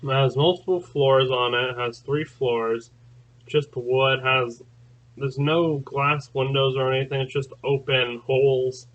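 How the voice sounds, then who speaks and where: A man's voice: mid-range voice, fairly even intonation, measured pace, fairly bright tone, lo-fi sound. A man hosting his own channel, telling a long story in a rambling, casual way, full of fillers and restarts. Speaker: channel host. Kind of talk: casual spoken recounting.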